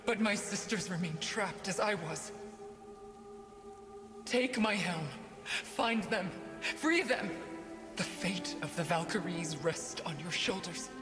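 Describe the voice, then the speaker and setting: An actress playing a regal, solemn warrior spirit in a video game, speaking in a formal, deliberate way. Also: female voice, on the low side, lively intonation, big-room sound, music in the background. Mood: commanding.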